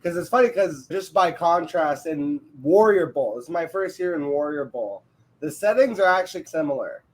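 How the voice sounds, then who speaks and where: A laid-back man from near Montreal talks with an accent that sits between English and French Quebec. He jokes and pokes fun at himself while chatting casually as a podcast guest. His voice sits a little high. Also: animated, normal speed, poor audio. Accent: Canadian French-English mix, Quebec. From Canada. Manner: laid-back, joking, self-deprecating. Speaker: guest analyst.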